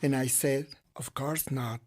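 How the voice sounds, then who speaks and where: Mid-pitched voice-over telling a personal story. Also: fairly even intonation, a little slow, a little mellow. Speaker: voice-over translator of an interviewee. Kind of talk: dubbed English voice-over of personal testimony.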